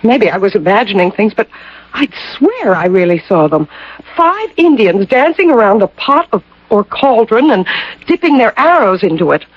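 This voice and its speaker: Earnest young wife, muffled recording. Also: female voice, medium pitch, very animated, normal speed, no echo. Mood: shaken, earnest. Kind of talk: old-time radio drama acting. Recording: dull lo-fi old radio recording.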